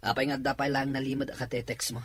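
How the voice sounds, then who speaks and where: A man playing a jealous, heartbroken boyfriend in a drama, with a very husky voice. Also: medium pitch, flat delivery, quick pace, close to an everyday voice. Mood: accusing. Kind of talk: Ilocano drama acting.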